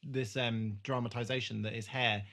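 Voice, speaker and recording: A man's voice: medium pitch, steady tone, moderate pace, a bit bright. A man hosting a podcast, chatting casually as a recap is talked through. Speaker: podcast host.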